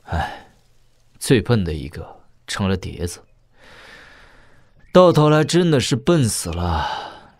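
An actor playing a young princely heir in an audio drama, wry and coolly questioning, speaking in a measured, conversational way. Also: male voice, on the low side, sing-song, no echo, full character voice. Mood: fond, rueful.

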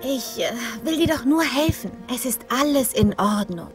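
A woman playing a brave, gentle young rider in an animated adventure, with a caring manner. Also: high voice, normal speed, over music. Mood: soothing, concerned. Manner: caring, soothing.

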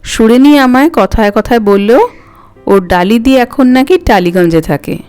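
A woman voicing a polite, hesitant elderly man on the telephone in an audio story, halting and quavery, with music behind. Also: on the high side, a little mellow, clear, resonant tone, natural voice. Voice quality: quavering, aged. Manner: halting, roundabout, courteous.

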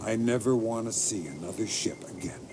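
An actor playing a hard, weary, sardonic middle-aged covert agent in a video game, speaking in a terse, dry way. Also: male voice, fairly low pitch, normal speed, heavy background noise, natural voice. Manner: terse, dry, matter-of-fact. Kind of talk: video game cutscene acting, terse recounting. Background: loud dramatic music.

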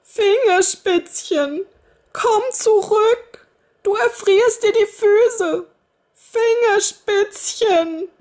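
A woman voicing a fretful old nursemaid who cries out in high, drawn-out laments. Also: darkish tone, heavily acted. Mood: pleading.

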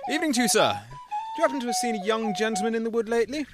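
An actor playing a cocky, boastful husband. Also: male voice, very high voice, sing-song, rapid-fire, loud music behind the voice. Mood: breezy. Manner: boastful, colloquial, chuckling swagger. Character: boastful, brash self-made husband, vulgar and cocky. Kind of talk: radio play acting.

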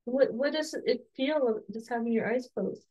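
Slightly hoarse woman gently interviewing. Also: a little high, normal speed, low-quality recording. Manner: soft, encouraging, with frequent restarts and light laughs. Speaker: podcast host interviewing her son. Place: home room with bookshelves.

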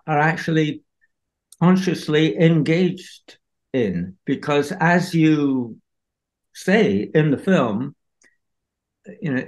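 An older man from Scotland, a film composer talking slowly and hesitantly on a video call from home. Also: a little high. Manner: slow, hesitant, many fillers. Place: home room.

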